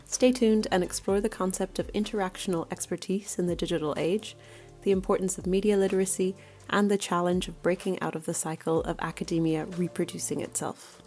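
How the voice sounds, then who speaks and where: Woman host, scripted podcast intro, lowish voice, faint music under. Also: darkish tone.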